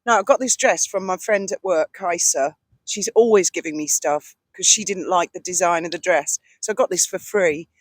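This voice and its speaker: A woman's voice: fairly low pitch, normal speed. A British woman co-hosts a casual vlog with a big, carrying voice. She is blunt and opinionated in the way she talks. The recording is dry, with hardly any echo. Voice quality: loud, carrying voice. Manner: blunt, outspoken. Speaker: vlog co-host. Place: outdoors on a boat deck at a marina, breezy evening. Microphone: cheap handheld microphone passed between speakers.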